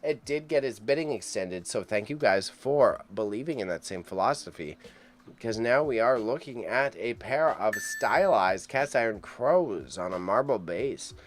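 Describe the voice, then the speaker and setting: A man hosting a casual auction livestream, chatty and excitable as he rambles to his chat, with a mild impediment on sh and ch sounds. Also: fairly low pitch, moderate pace. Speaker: livestream host reacting to an online auction. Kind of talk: casual live stream commentary.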